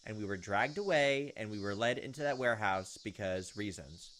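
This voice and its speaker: A man reading a visual novel aloud as a gaming host, expressive, with casual asides. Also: fairly low pitch, normal speed, a little hiss or hum.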